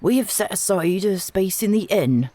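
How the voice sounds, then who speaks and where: A woman's voice: medium pitch, expressive, moderate pace, mellow, no room sound, pitched above the performer's own voice, close to an everyday voice. A woman voicing a nervous, deferential male village elder in a storybook reading, with a meek and respectful manner.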